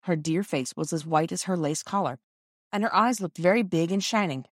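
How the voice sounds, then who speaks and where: A woman's voice: fairly low pitch, normal speed, no room sound. Woman narrating a story, dry sound. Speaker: audiobook narrator. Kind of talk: scripted children's story narration.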